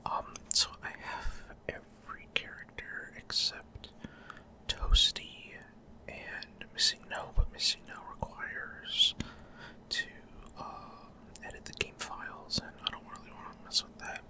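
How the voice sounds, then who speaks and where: A man hosts a gameplay video entirely in a soft, breathy whisper. His ASMR-style commentary comes in short, halting pieces, with pauses in the middle of his sentences. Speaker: gaming video host.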